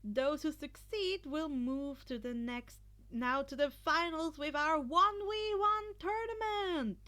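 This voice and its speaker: Woman playing a commanding female event announcer, high and measured. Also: bright, forceful tone, exaggerated voice. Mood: proud, official. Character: commanding female festival referee announcing results.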